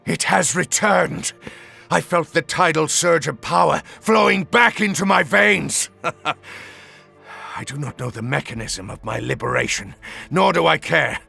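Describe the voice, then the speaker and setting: A man playing a haughty, vengeful exiled sorcerer in a video game, pompous and commanding, with a gravelly, raspy voice. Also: a little high, unhurried, bright, forceful tone, soft background music, heavily acted. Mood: triumphant, grandiose. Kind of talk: theatrical video game character dialogue.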